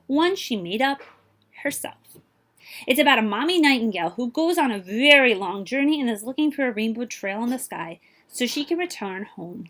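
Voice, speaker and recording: Lively, high-voiced woman reading a storybook aloud. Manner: animated, pauses to address young listeners. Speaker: librarian reading a picture book aloud. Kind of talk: expressive children's read-aloud with asides to listeners.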